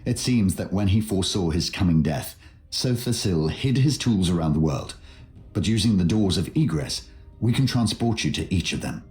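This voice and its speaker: A man's voice: deep voice, expressive, moderate pace, a little mellow, roomy sound, faint music behind. An actor playing an earnest, anxious devotee who pleads urgently for his people in a video game. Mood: pleased.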